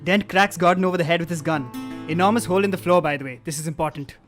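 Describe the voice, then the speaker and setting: A man reads stage directions in a wry, deadpan way, slipping in comic asides. His voice is a little high for a man. Soft live guitar plays in the background. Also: fast talker. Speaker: narrator reading stage directions. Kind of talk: comic table read narration with ad-libbed asides. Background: live guitar music.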